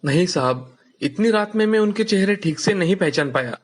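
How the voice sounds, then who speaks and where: A man playing a humble, caring village father in a children's animated story, earnest and gentle in manner. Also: high-pitched, moderate pace. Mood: humble, worried.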